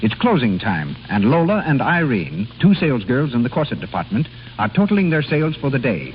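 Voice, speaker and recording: A man's voice: medium pitch, very animated, fairly quick. A man delivering scripted 1930s radio announcements and commercial copy. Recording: muffled, hissy old radio broadcast.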